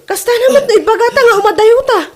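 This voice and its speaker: A woman plays a young wife fed up and pushing her husband. Her pitch sits high, and she complains insistently in comedy drama style. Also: fast talker, a crowd in the background. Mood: complaining.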